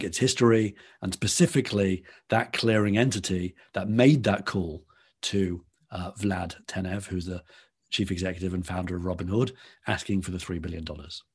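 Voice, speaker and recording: A man's voice: deep voice, breathy edge. A man with a Liverpool accent, a podcast guest explaining at length in conversation and often restarting his phrases. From Liverpool, England.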